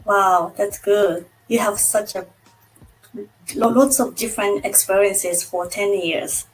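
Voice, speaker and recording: Woman host on a video call, slow and polite with her questions, mid pitch. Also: steady tone, soft background music, poor audio. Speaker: interview host. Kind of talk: casual interview chat.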